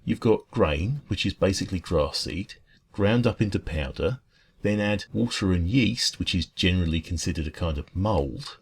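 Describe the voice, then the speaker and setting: A low, creaky-voiced dragon baker played by a man in a soft ASMR roleplay. He is kind and chatty, rambling with gentle, earnest enthusiasm and small hesitations as he talks to a customer. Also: expressive, normal speed, slight background noise. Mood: friendly, interested. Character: friendly, food-loving dragon café baker, kind and chatty.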